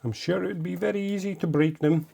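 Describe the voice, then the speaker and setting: A man's voice: sits high, fairly even intonation, fast, vocal fry, warm tone, husky. A man from Latvia with a Latvian accent, chatty and encouraging as he talks through a model build step by step. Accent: Latvian, living in Scotland. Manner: chatty and encouraging, coaxing phrases. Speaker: model-building video host. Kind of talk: casual hands-on build tutorial.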